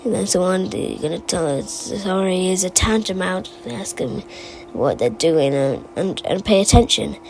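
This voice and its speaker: A woman voicing a rambling, forgetful game storyteller, halting and digressive, stammering as the tale wanders. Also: on the low side, lively intonation, a little mellow, rough recording. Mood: musing. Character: rambling, forgetful storyteller who muses about odd small memories. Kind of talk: fan recital of game character voice lines. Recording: amateur recording with clear background noise.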